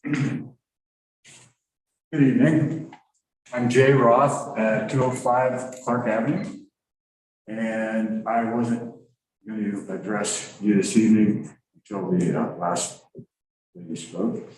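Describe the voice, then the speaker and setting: Low-voiced man rambling in an echoey hall. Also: steady tone, slow pace, long pauses, a little mellow, a little hiss or hum. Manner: hesitant, rambling, many fillers. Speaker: resident giving public comment. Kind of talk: public comment at a town board meeting. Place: council meeting room. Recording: very echoey hall, distant mic.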